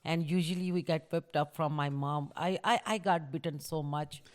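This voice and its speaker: A woman's voice: low voice, normal speed, a little mellow. Middle-aged Pakistani-born mother, second-language English, blunt, code-switching. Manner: blunt, mixes Hindi-Urdu into English. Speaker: podcast co-host, the host's mother. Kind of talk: casual chat.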